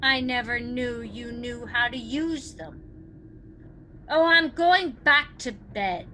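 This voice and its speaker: An actress playing a nagging, self-pitying, fearful wife in a melodramatic 1940s radio drama style, shrill and complaining. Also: female voice, takes it slowly, a little hiss or hum, poor audio. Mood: irritated, complaining. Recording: old 1940s radio recording, loud music.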